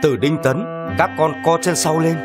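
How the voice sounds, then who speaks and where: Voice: medium pitch, normal speed, lightly acted. An actor voicing a kindly, fatherly adult bird in a Vietnamese cartoon, patient and encouraging as a teacher. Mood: instructing. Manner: gentle, instructive, encouraging. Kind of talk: Vietnamese animated film dialogue. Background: music.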